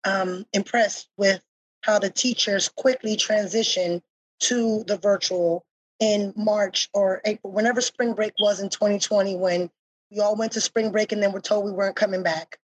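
A woman speaking as a parent on a panel discussion, earnest and grateful, pausing as she thinks. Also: medium pitch, steady tone, slightly rough, no echo, poor audio.

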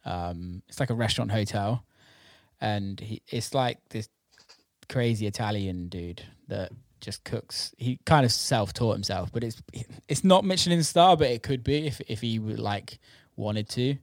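A British man with a lowish voice talks in an informal, enthusiastic way. He swears freely and chats as if with a mate. The recording is close and dry, with little room sound. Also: a little slow, dry recording. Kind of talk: casual chat about food.

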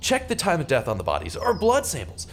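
A man's voice: on the high side, huge pitch swings, fairly quick, slight background noise. A man playing an earnest, desperate journalist in a radio theater drama, speaking with urgent, pleading drama. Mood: panicked, pleading. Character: earnest, desperate male journalist wrongly accused of murder.

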